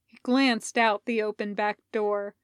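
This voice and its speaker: A woman's voice: medium pitch, fairly even intonation, unhurried, clean tone, dry recording, clean studio sound. A woman reading a story aloud as scripted narration. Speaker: podfic reader. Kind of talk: scripted narration of fan fiction with acted dialogue.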